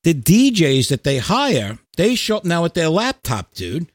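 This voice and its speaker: A man with a fairly high voice talks casually as a musician guest on a podcast. He tells things with animation and easy swearing, and the sound is dry and close. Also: huge pitch swings, a little slow, no echo. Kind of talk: casual chat.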